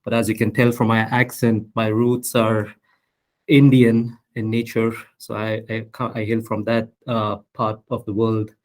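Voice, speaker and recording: A man in his thirties from the United States, a guest talking calmly about himself on a podcast with frequent fillers. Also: fairly low pitch. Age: 30s. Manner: measured, self-introducing with fillers. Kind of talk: casual interview.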